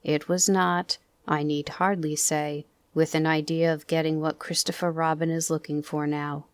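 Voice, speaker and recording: A woman reading a storybook, voicing a gloomy, self-pitying old male donkey in a slow, mournful, pompous way. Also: low voice, flat delivery, dry recording, close to an everyday voice.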